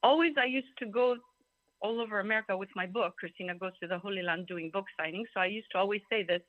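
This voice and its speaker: A woman's voice: mid-range voice, fast talker, dry recording. A talkative woman from Greece giving informal personal testimony as a speaker, emphatic and given to digressions. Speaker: symposium speaker. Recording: thin phone-like call audio.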